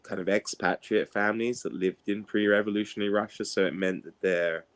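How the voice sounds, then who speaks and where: Deep-voiced man chatting and telling anecdotes. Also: fairly even intonation, brisk. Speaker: participant in an online book discussion. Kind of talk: casual chat, anecdotal storytelling.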